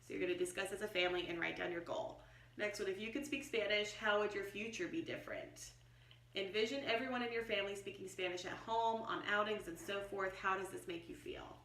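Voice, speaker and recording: A woman's voice: medium pitch, a little fast, a bit bright, slight background noise. A middle-aged American woman chatting informally as she explains things in a casual unboxing review. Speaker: homeschooling vlogger and product reviewer. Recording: roomy echo, distant mic.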